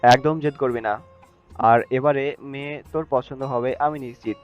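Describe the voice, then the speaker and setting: A man's voice: medium pitch, fairly even intonation, normal speed, natural voice. A man acting an irritable, insistent father in an audio family drama. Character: insistent, irritable father pushing his son to marry. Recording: dull muffled audio with music.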